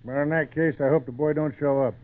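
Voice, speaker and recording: An actor playing an old, plainspoken, protective wagon driver with folksy rustic speech in an old radio drama. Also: male voice, on the high side, fairly even intonation, fast talker, natural voice. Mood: dry, needling. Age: older. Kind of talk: 1940s radio acting. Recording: noisy, tinny old radio broadcast.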